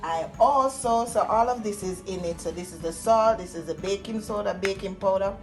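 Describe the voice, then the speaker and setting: A middle-aged woman with a Jamaican accent gives a casual cooking demonstration, explaining each step and pausing to find words. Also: mid-range voice. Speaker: home cook demonstrating a recipe. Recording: music playing behind the voice. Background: music.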